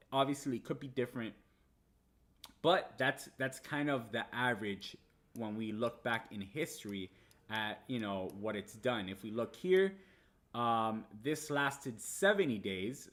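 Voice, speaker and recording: A man from the United States whose first language was Spanish, casually talking viewers through crypto markets on a livestream, with many fillers and restarts. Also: medium pitch. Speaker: livestream host. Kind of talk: casual live market commentary.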